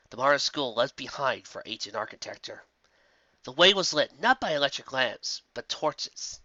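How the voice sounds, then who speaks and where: An amateur narrator reading scripted fantasy fan fiction in an expressive storytelling manner. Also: mid-range voice, dry recording, highs cut off.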